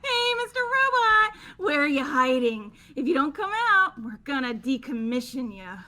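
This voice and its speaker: A woman's voice: sits high, sing-song, moderate pace, bright, forceful tone, exaggerated voice. A woman playing a gleefully creepy, eccentric young woman in a fan game dub, with a theatrical, teasing delivery. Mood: taunting. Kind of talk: amateur game voice acting.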